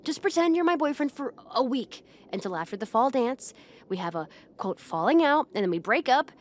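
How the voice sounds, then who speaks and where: A haughty, popular high school girl played by a young woman with a fairly high voice. She is proud and bossy, with flustered hesitations slipping through. It is an intimate roleplay audio drama spoken to the listener, with noise behind the voice. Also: big pitch swings, fairly quick. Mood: persuasive, smug.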